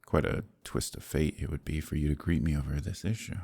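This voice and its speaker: An extremely deep, dark male voice full of gravelly fry , a man acting out a role. He is a haunted, courteous gentleman hiding that he is a werewolf. His soft-spoken, halting, old-fashioned phrasing suits an intimate ASMR audio drama. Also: fairly even intonation, full character voice. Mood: polite, awkward. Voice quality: gravelly, husky, breathy at times. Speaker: voice actor in an audio roleplay. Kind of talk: dramatic ASMR roleplay monologue.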